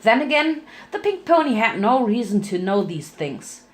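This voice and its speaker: A woman's voice: a little high, sing-song, normal speed. A woman giving a playful, theatrical dramatic reading of a fan fiction story as its narrator.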